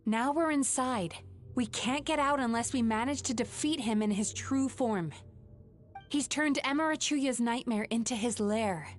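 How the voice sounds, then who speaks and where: A woman voicing a calm, resolute young dream-eating woman in a video game, speaking in a gentle, composed and serious way. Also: a little high, a little slow, natural voice. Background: game music.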